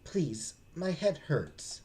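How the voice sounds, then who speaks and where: A man's voice: fairly high pitch, sing-song, very slow, a little mellow, pitched above the performer's own voice, heavily acted. A man voicing a distraught, grieving young woman with pleading, strained outbursts for a visual novel. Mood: pained pleading.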